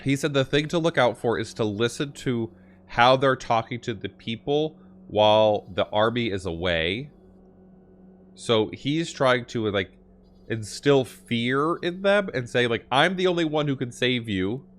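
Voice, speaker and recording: A man's voice: medium pitch, expressive, a little slow. A man hosting a gaming video, thinking aloud in casual commentary as he reacts to the game. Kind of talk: casual play-along commentary.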